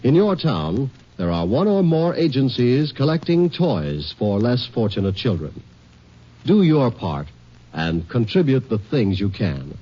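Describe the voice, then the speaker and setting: A man's voice: mid-range voice, sing-song, measured pace. A man giving network announcements and a public appeal in polished 1950s radio style. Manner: polished, declamatory. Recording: dull old radio recording.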